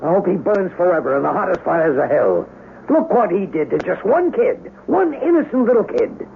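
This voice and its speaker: Through a narrow, tinny radio broadcast comes a country mechanic, grieving and bitter. His pitch sits high and swings widely, while his plain, folksy speech stumbles along in a radio-play manner. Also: big pitch swings, gravelly, exaggerated voice. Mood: bitter, angry. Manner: folksy, halting, ungrammatical speech. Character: rural small-town mechanic, grieving, embittered, plain-spoken man. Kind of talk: radio drama acting. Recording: narrow phone-like, faintly noisy radio broadcast.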